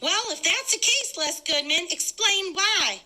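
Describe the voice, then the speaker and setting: A woman's voice: very high-pitched, expressive, measured pace, pushed and bright, voice pushed up, full character voice. A woman playing a suspicious, gossiping female neighbor who makes accusations in a dramatic play read-aloud. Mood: accusing.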